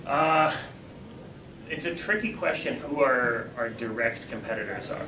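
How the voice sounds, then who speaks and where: A man who founded a startup pitches his company and answers audience questions in a conversational, explanatory way, with quick self-corrections. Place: event room. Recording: echoey, distant, noisy room.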